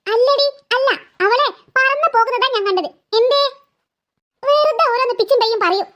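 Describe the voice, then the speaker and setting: A woman's voice: extremely high pitch, moderate pace, fairly bright tone, lo-fi sound. A woman voicing an exasperated mother who scolds her children with impatient sarcasm in a comic family skit. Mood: exasperated, sarcastic.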